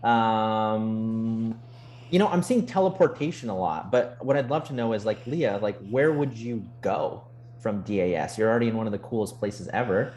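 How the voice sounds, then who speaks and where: A man's voice: on the low side, normal speed, a little mellow, slight background noise. A man co-hosting a video call, talking in an enthusiastic, friendly way. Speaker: co-host, program director. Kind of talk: upbeat webinar hosting.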